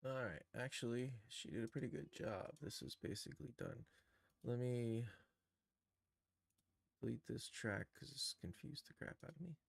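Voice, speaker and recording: Gravelly man teaching casually. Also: medium pitch, moderate pace, dry recording, clean studio sound. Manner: informal, thinking aloud as he works. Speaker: streamer teaching game-engine animation. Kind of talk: casual live screen-share tutorial.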